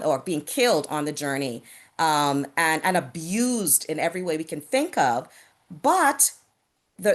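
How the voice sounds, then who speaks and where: A middle-aged woman from the Bahamas speaking on a video call panel, earnest and measured, with frequent fillers and restarts. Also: on the low side. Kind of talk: reflective talk on a virtual panel.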